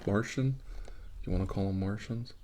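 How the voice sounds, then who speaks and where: A man playing a calm, caring 1940s army doctor in an ASMR role-play, murmuring hesitantly and often dropping to a whisper. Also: fairly low pitch, normal speed, close to an everyday voice. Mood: wry, musing. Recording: noisy recording with clicks.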